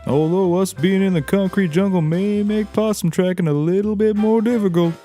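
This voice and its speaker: A folksy, naive backwoods tracker acted by a man with a drawl. His voice sits high but soft-edged and mellow, and he rambles along in audio comedy style over a music bed. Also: normal speed, close to an everyday voice. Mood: eager, agreeable. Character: folksy, naive male Kentucky taxidermist and tracker. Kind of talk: scripted audio comedy acting.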